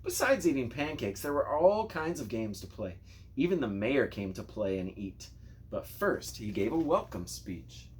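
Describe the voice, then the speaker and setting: A man narrating a children's storybook in an expressive, playful way, speaking directly to young listeners. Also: medium pitch, normal speed, slight background noise. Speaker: storybook reader.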